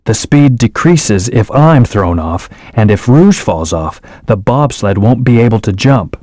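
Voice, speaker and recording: An actor voicing an aloof male hedgehog hero in a video game, giving calm, terse commands and hints. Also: lowish voice, unhurried, no room sound, studio quality. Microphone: studio microphone.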